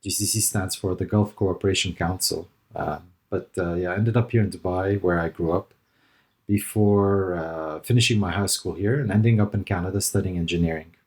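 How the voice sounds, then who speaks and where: Middle-aged male guest from Lebanon, deep voice, reflective conversational answers. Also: steady tone, moderate pace, a little mellow. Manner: thoughtful, hesitant with fillers and restarts. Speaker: interview guest, consultant.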